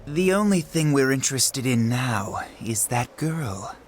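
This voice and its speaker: An actor playing a scheming male count who speaks with courteous, menacing smoothness, in a video game cutscene. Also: medium pitch, animated, unhurried, close to an everyday voice. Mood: smoothly menacing. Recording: game audio with music behind.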